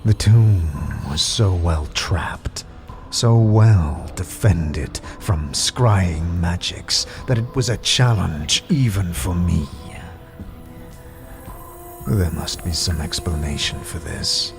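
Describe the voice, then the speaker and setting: An actor playing an imperious, menacing and cunning sorcerer in a fantasy audio drama, with haughty theatrical delivery. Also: male voice, deep voice, expressive, takes it slowly, exaggerated voice. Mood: stern, demanding. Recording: music behind the voice.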